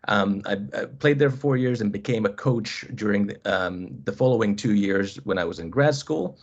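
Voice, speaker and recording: A man in his thirties with a lowish voice talks through a webinar presentation over a video call. He hesitates a lot, fills gaps with ums and restarts phrases. The audio is dull and lo-fi. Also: moderate pace. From Finland. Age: 30s. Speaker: college squash coach, guest speaker.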